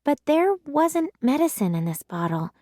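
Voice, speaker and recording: A woman narrating a children's story in an animated, emphatic storytelling manner. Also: high-pitched, normal speed, no echo, clean studio sound. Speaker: read-aloud storyteller. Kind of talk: expressive children's audiobook narration.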